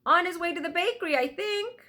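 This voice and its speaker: A woman's voice: very high-pitched, lively intonation, brisk, sharp tone, voice pushed up, full character voice. A woman reading a children's storybook, voicing a friendly, helpful, toothless old townswoman. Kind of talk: children's storybook read aloud.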